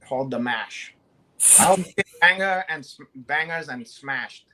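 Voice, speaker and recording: A man's voice: fairly high pitch, lively intonation, unhurried, pushed and bright, a little hiss or hum, low-quality recording. Brazilian-accented male co-host, brash and profane, comic bravado. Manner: boastful, swearing, joking.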